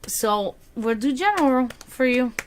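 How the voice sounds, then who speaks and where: A woman's voice: sits high, lively intonation, unhurried, slight background noise. A woman whose first language is German, chatting casually on a livestream as a psychic card reader, rambling and answering her viewers. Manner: chatty, rambling, reads chat aloud.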